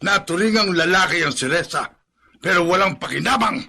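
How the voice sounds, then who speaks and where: A man's voice: sits high, lively intonation, brisk, vocal fry, bright, forceful tone, breathy edge, full character voice. A man acting in a radio drama as a bitter, frustrated middle-aged father who grumbles in exasperation. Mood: bitter, scornful.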